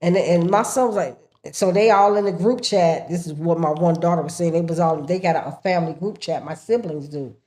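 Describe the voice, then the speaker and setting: Woman, 60s, a bit low-pitched; animated guest storyteller. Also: fairly quick, hardly any pauses. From United States. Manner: animated storyteller, laughs often, acts out her family's voices. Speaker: podcast guest. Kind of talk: casual chat.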